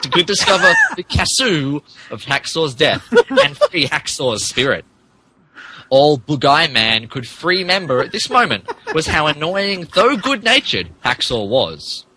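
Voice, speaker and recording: A man's voice: on the high side, sharp tone, husky, low-quality recording. A man giving a mock-serious, theatrical comic narration of fan fiction on a podcast.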